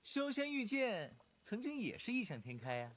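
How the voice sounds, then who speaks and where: An actor voicing a cheeky, clever young male cultivator in an animated fantasy drama, playful and teasing. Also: very high voice, normal speed, noisy background, full character voice. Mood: confident.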